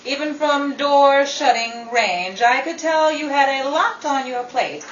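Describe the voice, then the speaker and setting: High-voiced, sardonic woman as a brazen girlfriend. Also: unhurried, roomy sound, recorded from a distance, dull audio, a little hiss or hum. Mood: wry, teasing. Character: brazen, wry girlfriend of the housewife. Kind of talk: 1930s radio comedy acting.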